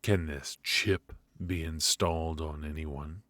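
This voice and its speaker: A man playing a menacing, teasing mafia boss in an intimate ASMR roleplay, speaking with deliberate pauses and mock politeness. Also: extremely low pitch, steady tone, fairly bright tone, no echo, full character voice. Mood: probing, unhurried. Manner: slow, deliberate, mockingly polite with drawn-out pauses.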